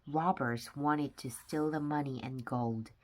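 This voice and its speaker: A woman reading a children's nonfiction book aloud with simple, deliberate phrasing, sometimes stumbling over words. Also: rumbling low, fairly even intonation, darkish tone. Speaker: read-aloud narrator of children's books.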